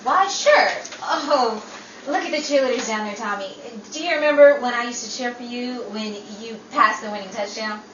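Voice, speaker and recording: Woman acting a bright, flirtatious former cheerleader. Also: fairly high pitch, lively intonation, moderate pace. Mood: chirpy, flirtatious. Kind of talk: old-time radio comedy-drama acting. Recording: dull, echoey, distant, faintly noisy.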